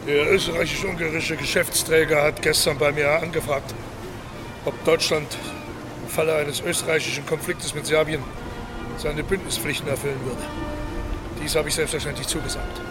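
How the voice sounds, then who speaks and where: A man plays an imperious monarch in a historical audio drama. The voice sits fairly high and is curt and commanding, brushing objections aside with confidence. Music plays behind it. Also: flat delivery, moderate pace, lightly acted. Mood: self-assured. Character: imperious, dismissive German emperor, confident and impatient. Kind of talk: historical radio drama acting.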